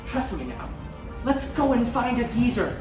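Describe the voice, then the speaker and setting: A woman's voice: on the high side, big pitch swings, moderate pace. A woman playing a cocky, bossy young crook who declaims rhymes in a stage pantomime. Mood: scheming. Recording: echoey stage, distant mic, music behind.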